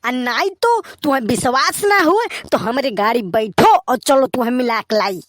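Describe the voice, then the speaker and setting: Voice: sits high, expressive, normal speed, a bit bright, no echo, clean studio sound. A performer voicing an opinionated, grumbling young village bachelor in a comedy cartoon, with an Awadhi accent and a complaining, lecturing delivery. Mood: annoyed.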